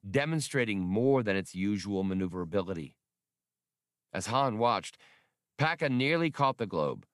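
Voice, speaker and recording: A man narrates an adventure audiobook. His voice sits fairly low, and the reading is scripted prose, recorded dry with no room around it. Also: no room sound. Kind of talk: scripted narration of a science fiction novel.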